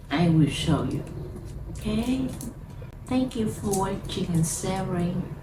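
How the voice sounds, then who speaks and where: A Vietnamese woman speaking halting English as a second language, chatting casually to camera about her lighter collection at home, with many fillers. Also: medium pitch, reverberant, recorded from a distance, noisy background.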